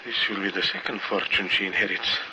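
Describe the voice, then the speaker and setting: Guy as dry cynical doctor in old radio drama, lowish voice, matter of fact, hissy recording. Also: fairly even intonation, fast, lightly acted. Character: dry, brisk, cynical male family doctor. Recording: noisy vintage radio recording.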